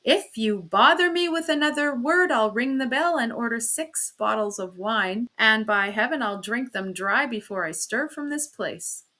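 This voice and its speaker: A woman's voice: medium pitch, a little slow, sharp tone. A woman voicing a self-pitying, dissolute husband in an audiobook, theatrically aggrieved, sarcastic and languid. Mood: irritable, threatening.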